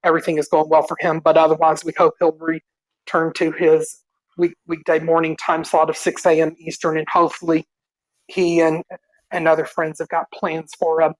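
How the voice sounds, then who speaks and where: A man co-hosting a podcast, politely reading out show plugs in an informal, halting way. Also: on the high side, completely flat delivery, no echo, low-quality recording. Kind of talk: informal announcement of show schedules.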